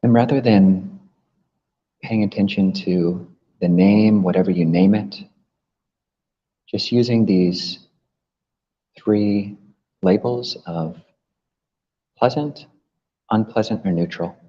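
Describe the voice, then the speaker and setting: A man leading a guided meditation as a teacher, gently instructing with long pauses between phrases. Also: lowish voice. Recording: muffled, long reverberant hall echo.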